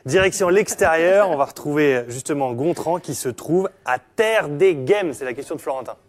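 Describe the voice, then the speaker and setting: A man hosting a live regional TV show, relaxed and friendly, laughing easily. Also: fairly high pitch, normal speed. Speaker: TV show host.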